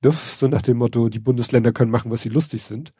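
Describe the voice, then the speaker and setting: Ein Mann mit Schnupfen, der in lockerem Plauderton und mit trockenem Sarkasmus über Neuigkeiten spricht, oft mit Füllwörtern. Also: male voice, medium pitch, quick pace, warm tone, husky, dry recording, clean studio sound. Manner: wry, sarcastic, hesitant with many fillers. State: stuffy nose from a cold. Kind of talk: casual news chat.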